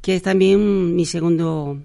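Woman, radio host, gravelly voice, chatty, halting, many restarts, studio mic. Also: on the low side, dark tone. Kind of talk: live radio announcements.

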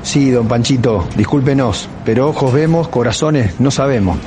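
A man's voice: mid-range voice, normal speed, background noise, natural voice. A man playing an apologetic, deferential intelligence agent in a radio drama. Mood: sheepish.

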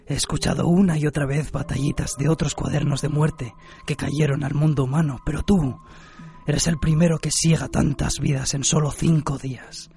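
A man's voice: fairly high pitch, steady tone, normal speed, vocal fry, warm tone. A man playing an amused, taunting spirit of death in an anime radio drama, with a theatrical, mocking manner.

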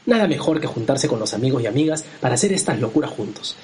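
A man's voice: medium pitch, very animated, fast talker, darkish tone, slight background noise. A man host and director introducing a radio play in a heartfelt, scripted way.

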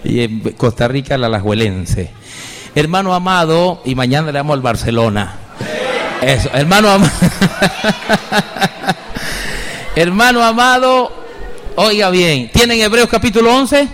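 A man's voice: high-pitched, animated, measured pace, long pauses, in a large hall, crowd noise. A middle-aged man from Venezuela, a pastor preaching with fervor and personal testimony, calling his listeners brother again and again. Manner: fervent, repeatedly addresses listeners as brother.

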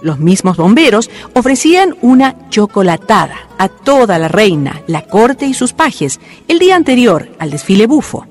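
Narrator for a local radio play, lively pitch, faint music behind. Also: moderate pace. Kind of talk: scripted narration for a radio drama.

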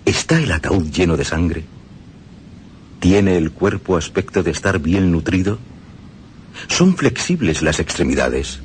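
Deep formal man reading aloud. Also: very animated, a little slow, pauses often, slight background noise. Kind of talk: scripted radio reading.

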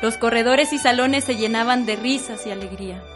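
High-voiced young Mexican woman narrating. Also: fairly quick, music in the background. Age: young adult. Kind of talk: scripted radio drama narration.

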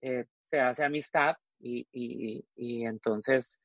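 A man's voice: medium pitch, steady tone, takes it slowly, fairly bright tone, no echo. A man in his forties from Costa Rica, a guest frankly telling his personal story, often trailing off and restarting. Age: 40s.

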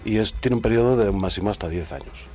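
A man, manager of a city gardens project, giving brisk matter-of-fact explanations on a radio show. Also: lowish voice, darkish tone. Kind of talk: radio interview guest.

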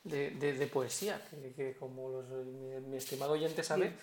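A man hosting a podcast interview, speaking in a halting way that repeats words and leans on fillers. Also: medium pitch, fairly even intonation. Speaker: podcast host.

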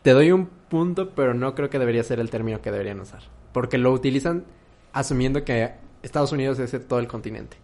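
A man's voice: mid-range voice, quick pace, vocal fry, hardly any pauses, darkish tone. A man with a Mexican accent co-hosting a podcast in casual chat, playful and dropping English phrases. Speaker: podcast co-host.

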